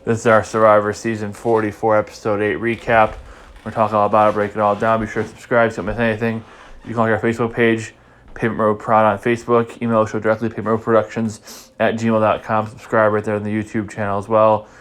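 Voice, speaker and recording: A man's voice: on the low side, monotone, fast talker, fairly bright tone. A stuffy, congested man hosting a casual podcast recap, rambling and repeating himself as he thinks. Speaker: podcast host.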